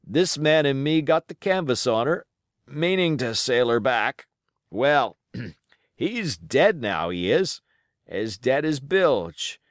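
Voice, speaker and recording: A man voicing a rough, wheedling wounded pirate sailor from an adventure storybook, with drawled, folksy speech and rustic grammar. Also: medium pitch, very animated, slow pace, dry recording, studio quality, voice pushed up. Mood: sly, wheedling.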